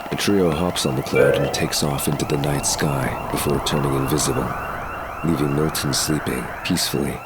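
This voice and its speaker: A man's voice: a bass voice, steady tone, normal speed. A man reading scripted narration for an audio drama. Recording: clear background ambience noise.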